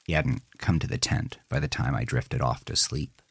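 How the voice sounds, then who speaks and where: A man reading a scripted first-person horror story in a measured, deliberate, dramatic way. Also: a bass voice, slightly rough, dry recording. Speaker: narrator of a horror fiction podcast.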